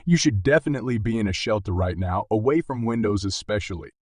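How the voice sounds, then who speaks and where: A man's voice: fairly low pitch, animated, quick pace, darkish tone, no room sound, studio quality. A man playing an earnest, urgent TV meteorologist giving live severe weather coverage, emphatic and speaking straight to viewers. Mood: urgent warning. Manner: emphatic, addresses viewers directly. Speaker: broadcast meteorologist.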